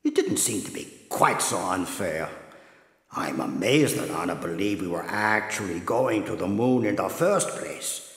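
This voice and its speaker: Gravelly, echoing, sheepish professor. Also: male voice, sits low, animated, a little slow. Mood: rueful. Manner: hesitant, rueful. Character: sheepish, lovelorn portly male professor, self-excusing. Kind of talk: comedic radio play monologue. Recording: echoey, reverberant hall sound.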